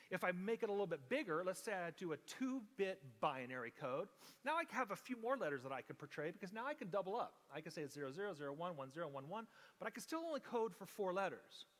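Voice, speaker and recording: Male pastor, slightly higher voice, explanatory teaching sermon, long reverb of a church hall. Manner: explanatory, checks in with the congregation often. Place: church sanctuary.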